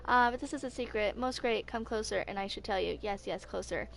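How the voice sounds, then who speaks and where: A woman's voice: medium pitch, a little fast, gravelly, lightly acted. A woman voicing a secretive, prim female scholar who acts the elder in a game story, teasing and schoolmistressy. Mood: secretive, scolding. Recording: noticeable background noise.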